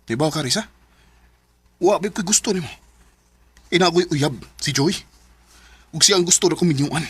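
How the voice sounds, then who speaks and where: A man playing a cool, evasive young heartthrob in a radio drama, low-key and offhand. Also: normal speed, slightly rough, dry recording. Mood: dismissive.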